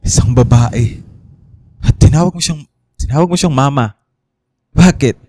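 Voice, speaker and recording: A man's voice: mid-range voice, measured pace, warm tone, no echo, studio quality, close to an everyday voice. A Filipino actor playing a troubled, suspicious young man cursed with a third eye, intense and accusing, in a radio horror drama. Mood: accusing, upset.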